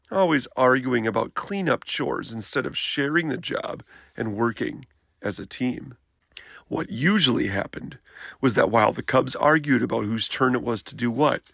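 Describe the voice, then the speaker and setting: A man reading a children's picture book aloud in an expressive storytelling style. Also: medium pitch, creaky, no room sound, clean studio sound.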